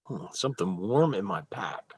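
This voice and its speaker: A man's voice: deep voice, animated, moderate pace, a little mellow, breathy edge, dry recording. A man chatting casually as a player in a tabletop role-playing game.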